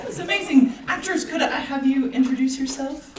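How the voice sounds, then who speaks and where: Lady hosting a theater talk, really high animated voice, echoey hall w loud crowd. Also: moderate pace, distant mic. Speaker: moderator of a post-reading talk. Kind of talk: live event introduction.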